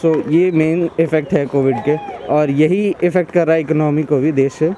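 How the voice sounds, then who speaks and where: A man's voice: fairly high pitch, normal speed, background noise. A young man in his twenties, a student answering street interview questions outdoors in a park, earnest and hesitant, mixing English into his Hindi.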